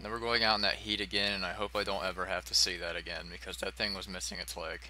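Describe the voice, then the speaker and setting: An American man from Ohio giving casual, rambling, profane gameplay commentary in a monotone manner. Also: low-pitched, quick pace, poor audio. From United States, Ohio. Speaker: let's play host. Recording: game music behind the voice. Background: video game music.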